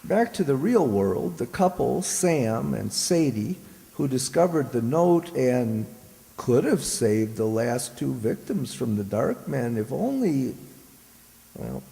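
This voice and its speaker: Slow, gravelly male announcer. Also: mid-range voice, animated, warm tone. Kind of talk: presenting amateur radio drama credits. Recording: echoey hall with background noise.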